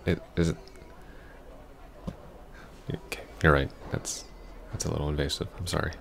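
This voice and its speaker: A man playing an awkward, apologetic young ex-boyfriend in an ASMR roleplay, halting and stammering. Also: extremely low pitch, flat delivery, creaky, a bit bright, slight background noise, heavily acted. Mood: sheepish, guarded. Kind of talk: ASMR roleplay, soft intimate acting.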